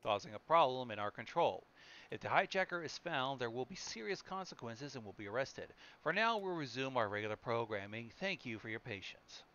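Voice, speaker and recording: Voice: mid-range voice, animated, a little fast. A person chatting casually while reacting to a video and reading its text aloud, swearing casually. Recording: dull lo-fi mic, loud music behind. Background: music.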